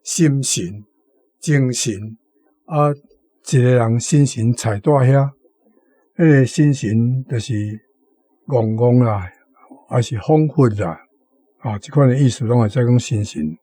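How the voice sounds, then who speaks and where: Slow, husky, mellow Taiwanese-accented man. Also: medium pitch, dry recording. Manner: didactic, repeats key words slowly. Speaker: guest commentator on a radio programme. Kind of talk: explanatory talk on words and meanings.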